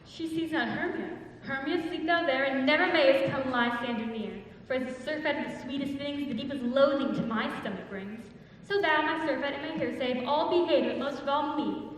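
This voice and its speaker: A woman's voice: high-pitched, fairly quick, a little hiss or hum, close to an everyday voice. An actress playing an ardent, romantic young man who declaims Shakespearean verse in a pleading, impassioned way. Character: ardent young male lover, earnest and romantic. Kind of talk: Shakespearean stage acting. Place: large hall or theatre stage. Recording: distant, echoey stage recording in a large hall.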